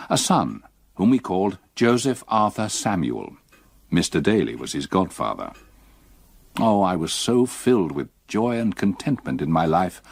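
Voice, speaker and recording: Haunted, low-voiced old Englishman. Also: gravelly, dry recording, exaggerated voice. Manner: literary, measured, emotionally burdened. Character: haunted, grief-stricken old man recalling his past. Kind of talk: gothic radio drama narration and acting.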